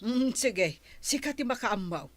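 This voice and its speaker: A woman's voice: fairly high pitch, expressive, unhurried, dry recording. A Filipino woman acting a nagging, practical middle-aged village wife in an audio drama. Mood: concerned.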